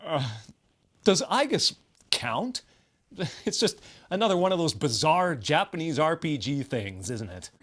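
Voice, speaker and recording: A man's voice: a little high, very animated, unhurried, long pauses, fairly bright tone. A man giving casual commentary on a video game as a co-commentator.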